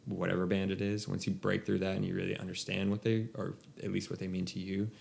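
A man's voice: deep voice, flat delivery, fast, vocal fry, a little mellow, slight background noise. A young man chatting casually about music on a podcast, rambling with many restarts. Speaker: podcast co-host.

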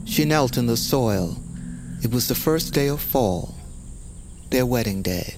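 A man giving a grave, measured, literary narration of a dramatic story. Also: medium pitch, lively intonation, a little mellow, slight background noise. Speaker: narrator of an audio fiction story. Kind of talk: solemn scripted story narration. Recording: music bed behind narration.

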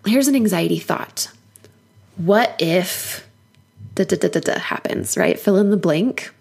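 A woman hoarse from a cold, giving an earnest, encouraging solo podcast talk as a life coach. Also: mid-range voice, animated, a little slow, a little mellow.

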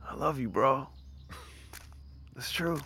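An actor playing a cynical, resigned, hard-drinking war veteran around thirty, talking crudely and bluntly in audio drama style. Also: male voice, mid-range voice, slow pace, gravelly, a little hiss or hum, full character voice. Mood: drunkenly fond. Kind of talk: naturalistic audio drama acting. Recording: loud music behind the dialogue.

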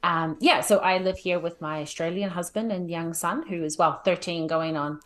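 A middle-aged woman with a New Zealand accent and a slightly low-set voice. She talks in a casual, chatty interview style, explaining things informally and often tagging phrases with a checking question. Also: steady tone, normal speed. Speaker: podcast guest, home organizer.

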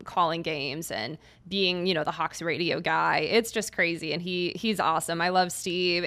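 A woman's voice: lowish voice, fairly bright tone. A woman hosting a sports podcast as a beat reporter, introducing and interviewing her guest with bubbly enthusiasm. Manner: enthusiastic and bubbly, gushes with praise.

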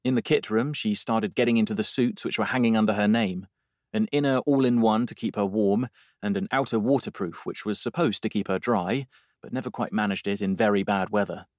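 Brisk man narrating, lowish voice. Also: darkish tone, dry recording, studio quality. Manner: brisk, no-nonsense. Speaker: audiobook narrator. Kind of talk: scripted novel narration.

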